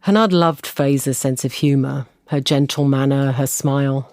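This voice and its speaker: A woman's voice: rumbling low, steady tone, normal speed, a little mellow, no echo. A woman journalist reading scripted documentary narration in a storytelling style.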